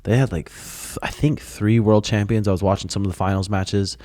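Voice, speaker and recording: Young dude hosting a podcast, real deep voice, laid-back and swearing a lot. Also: male voice, fairly even intonation, fairly quick, darkish tone, no echo, clean studio sound. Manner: easygoing, swearing, jokey. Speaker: podcast host. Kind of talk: casual podcast chat.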